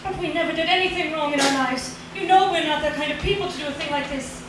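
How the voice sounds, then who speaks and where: High-voiced, anxious wife played by an actress, echoing old radio. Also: female voice, fast talker, lightly acted. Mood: anxious, pleading. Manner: anxious, pleading. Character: frightened, guilt-ridden wife faking widowhood in an insurance fraud. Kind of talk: 1940s radio acting. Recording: roomy, distant, hissy old radio recording.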